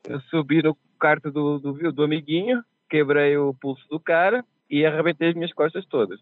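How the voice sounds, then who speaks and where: A man's voice: a little high, fairly even intonation, moderate pace, no room sound. Portuguese man, tinny call audio. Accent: Portuguese, softened by years in Brazil. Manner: chatty, laughs at his own mishaps. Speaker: podcast guest. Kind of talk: casual storytelling. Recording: tinny phone line.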